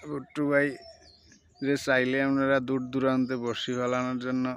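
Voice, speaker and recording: A man's voice: medium pitch, completely flat delivery, moderate pace, clean tone. A man hosting a casual fishing vlog, chatting and commenting outdoors. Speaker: host filming a fishing vlog. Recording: outdoor recording with birdsong and noise. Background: birdsong, faint ambient noise.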